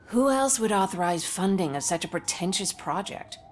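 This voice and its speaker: A breezy, cynical actress character voiced by a woman chats in a video game. Her medium-pitched voice is casual and wry, with a conversational ease. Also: expressive, moderate pace, a little hiss or hum, close to an everyday voice.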